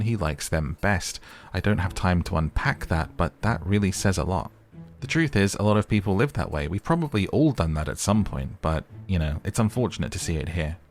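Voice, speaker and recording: A man giving a casual, chatty recap commentary of a reality show, with wry asides. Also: low voice. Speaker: host of a recap channel.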